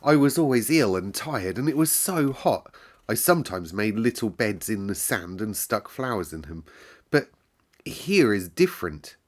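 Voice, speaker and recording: A man's voice: lowish voice, very animated, unhurried. A man reading aloud and voicing a sickly, sour little girl who speaks in an eager, pleading way. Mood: timid, pleading. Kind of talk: storybook read-aloud.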